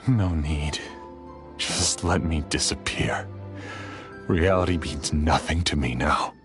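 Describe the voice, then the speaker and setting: A man's voice: a bass voice, slow, over music. A man playing a despairing, resigned character in a video game, speaking bleakly and wearily. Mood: hopeless resignation. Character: despairing man who wants to disappear, weary and resigned.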